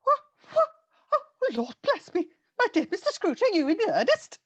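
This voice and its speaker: A woman's voice: very high-pitched, huge pitch swings, normal speed, dry recording, muffled recording, heavily acted. A woman playing a flustered, genteel Victorian charity lady in a radio play, with a hesitant, fluttery stammer. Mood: astonished, overjoyed. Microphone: video call.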